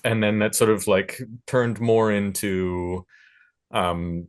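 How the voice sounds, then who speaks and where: A man speaking as a panelist in a discussion, thinking aloud in a reflective, halting way. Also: fairly low pitch, steady tone. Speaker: panelist, record producer and recording engineer.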